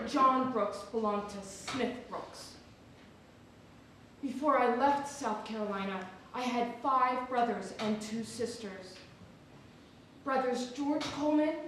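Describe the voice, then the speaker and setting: A woman giving a dramatic reading as a formerly enslaved woman searching for her family. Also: on the high side. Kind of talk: dramatic reading of a historical newspaper ad. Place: theatre or hall, recorded from a distance. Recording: echoey hall from far off, faint noise.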